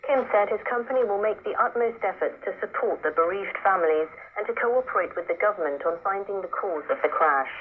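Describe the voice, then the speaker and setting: A woman anchor reading the news in a measured, formal way, heard over shortwave radio. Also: medium pitch. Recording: tinny shortwave radio reception with hiss.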